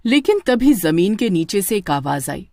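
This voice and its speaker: A woman's voice: mid-range voice, big pitch swings, normal speed, a little mellow, dry recording. A woman narrating a horror story in a dramatic scripted style. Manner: dramatic, with stammering in character lines.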